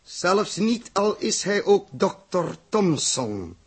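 Male space captain, very raspy, higher-pitched, blunt and dramatic, 1960s radio play. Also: sing-song, slow, exaggerated voice. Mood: grave, determined.

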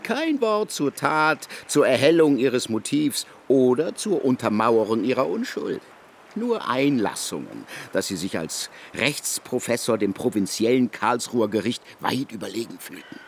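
A scornful criminologist in a radio drama, theatrical and accusatory. His mid-pitched voice swings widely as he needles and mocks, over a faint background noise. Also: big pitch swings, measured pace. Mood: mocking, probing. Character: scornful male criminologist confronting a suspected murderer. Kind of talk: German radio drama acting.

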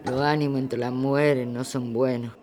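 A woman's voice: rumbling low, little pitch movement, moderate pace, a little mellow, a little hiss or hum. A woman playing a weary, grieving leader of a women's protest, solemn and plain-spoken, in a radio drama. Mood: earnest.

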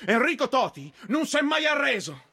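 A man's voice: very high-pitched, big pitch swings, moderate pace, creaky, bright, forceful tone, dry recording, clean studio sound, full character voice. A man playing a proud, defiant one-legged soldier in his thirties recounting his own death in a theatrical monologue. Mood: defiant pride. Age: 30s.

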